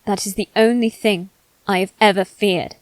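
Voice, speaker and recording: A woman playing a brooding, haughty aristocratic boy bent on revenge, speaking formally and deliberately in a dramatic ASMR roleplay. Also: mid-range voice, fairly even intonation, no echo, close to an everyday voice, rough recording. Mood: defiant. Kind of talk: dramatic ASMR roleplay monologue addressed to listener.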